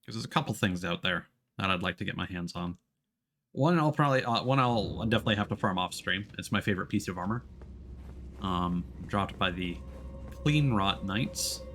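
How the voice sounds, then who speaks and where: Lively low-voiced man streaming a game. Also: moderate pace. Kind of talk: casual live game commentary.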